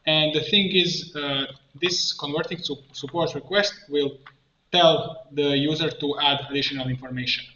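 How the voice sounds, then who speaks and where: A man presenting an improvised software tutorial, thinking aloud haltingly as he works at a computer. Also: on the high side, fairly even intonation. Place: indoor room at a computer. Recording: dull, echoey room, clear background noise. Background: keyboard typing and mouse clicks.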